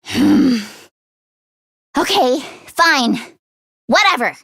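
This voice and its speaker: An excitable teenage girl inventor from an anime-style video game. Her voice is very high and sharply bright, with a close, dry sound. She is earnest and expressive, easily flustered. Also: very slow, gravelly, no room sound, heavily acted. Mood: dry, grumbling. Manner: expressive, swinging from deadpan grumbling to frantic outbursts.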